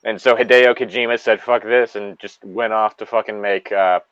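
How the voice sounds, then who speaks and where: A man's voice: fairly low pitch, steady tone, normal speed, fairly bright tone, clean tone, dry recording, muffled recording. A man chatting casually with friends on a voice call, rambling and swearing freely as he goes.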